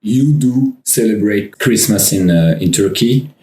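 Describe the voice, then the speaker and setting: A man's voice: fairly low pitch, lively intonation, a little slow, mellow. A man asking informal questions as an interviewer. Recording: echoey room.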